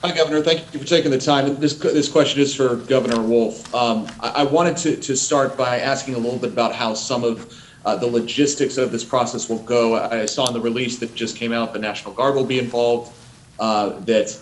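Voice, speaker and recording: A man's voice: medium pitch, steady tone, a little fast, roomy sound, slight background noise. An American man, a reporter, politely asking questions over a video call at a press conference. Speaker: TV news reporter.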